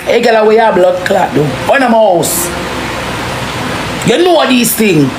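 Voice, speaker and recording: A woman's voice: a little high, expressive, slow. Jamaican woman ranting in an echoey, noisy room. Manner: forceful, heavy cursing, repeats phrases for emphasis. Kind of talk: impassioned rant in casual street talk. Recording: roomy echo, clearly noisy background.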